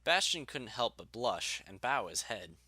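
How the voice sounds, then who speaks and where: Male storybook narrator, animated intonation, crisp forward tone, very dry sound. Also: mid-range voice, a little slow, no echo.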